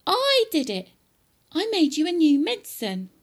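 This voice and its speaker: Woman doing an eager, high-voiced young boy. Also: very animated, takes it slowly, noisy background, exaggerated voice. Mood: proud protest. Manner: calling out and protesting. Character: eager, earnest young boy, defiant with his grandmother. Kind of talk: children's storybook character reading.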